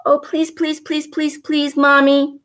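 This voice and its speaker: An eager little storybook girl, voiced by a woman, pitched high. She sounds pleading and childlike in a read-aloud tale. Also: completely flat delivery, slow, fairly bright tone.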